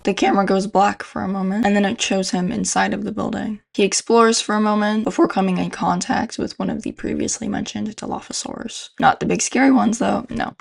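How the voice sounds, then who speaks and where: A woman's voice: medium pitch, steady tone, moderate pace, gravelly, a little mellow. A young adult woman giving casual, informal, slangy video commentary as a host. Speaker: YouTube horror video host.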